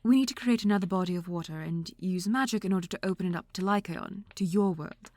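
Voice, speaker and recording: A woman voicing a refined, long-lived aristocratic scholar in a fan audio drama, who speaks in a formal, measured, courtly way. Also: fairly low pitch, a little mellow, no echo, natural voice.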